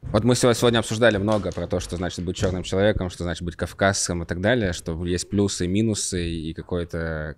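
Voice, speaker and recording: A man in a casual chat, musing and thinking aloud as he works out an idea. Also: sits low. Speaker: co-host.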